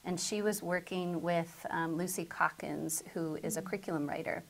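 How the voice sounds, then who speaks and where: A woman's voice: lowish voice, steady tone, normal speed. A middle-aged American woman from southern Connecticut, a school principal answering interview questions conversationally. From southern Connecticut, United States.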